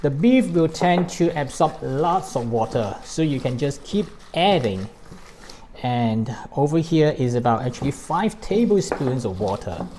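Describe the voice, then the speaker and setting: Mellow-voiced Malaysian man teaching cooking. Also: mid-range voice, sing-song, measured pace, slight background noise. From born in Hong Kong, grew up in Malaysia. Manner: explanatory, step by step. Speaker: cooking show host. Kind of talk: recipe demonstration to camera.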